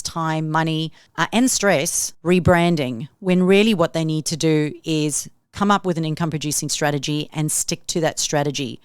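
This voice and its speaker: Upbeat South African woman, smooth voice. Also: low voice, dry recording, studio quality. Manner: upbeat, motivational. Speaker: podcast host. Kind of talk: solo podcast monologue.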